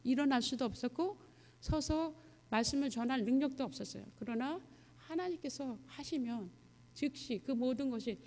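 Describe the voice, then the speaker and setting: A woman missionary giving a testimony sermon to a congregation, speaking in a conversational, halting way and putting questions to her listeners. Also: high-pitched, a little mellow. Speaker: missionary guest preacher. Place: church sanctuary, addressing a congregation. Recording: long hall reverb, church sound system.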